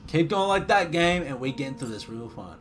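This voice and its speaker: A young man streaming a horror game, chatting casually and reacting in a jumpy, excitable way, muttering to himself. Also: a little high, brisk. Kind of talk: casual gameplay commentary. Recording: game music playing behind voice.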